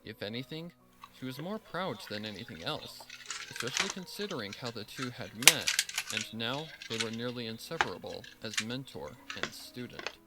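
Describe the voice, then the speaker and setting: A man's voice: medium pitch, lively intonation, moderate pace, over loud music, poor audio. A man narrating a dramatic story from a script as the storyteller.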